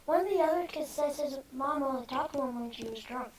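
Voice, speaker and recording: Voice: high-pitched, a little fast, natural voice. A performer playing an innocent, pleading little foster boy who longs for his mother, in a screenplay table read. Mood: timid, hopeful.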